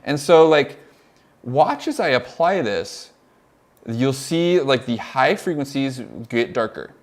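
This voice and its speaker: Young man, californian accent, casual live demo, excitable, rambling, lots of filler, lively swings in pitch. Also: slow. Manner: excited, rambling, many "like"s and laughs. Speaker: audio mentor.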